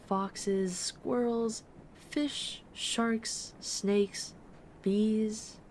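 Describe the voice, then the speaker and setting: A woman playing a gentle, affectionate dragon friend in an intimate comforting audio roleplay, speaking softly and tenderly to the listener. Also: medium pitch, slight background noise. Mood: soft, delighted. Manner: soft-spoken, tender, unhurried reassurance. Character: gentle, affectionate female dragon friend who loves soft, cuddly plushies.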